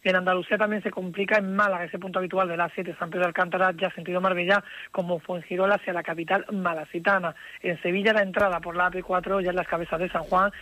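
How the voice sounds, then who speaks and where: A man's voice: sits high, level tone, fast, bright, forceful tone, dry recording. A man with an accent from Spain reading a live radio traffic bulletin as a list of updates. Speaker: traffic reporter.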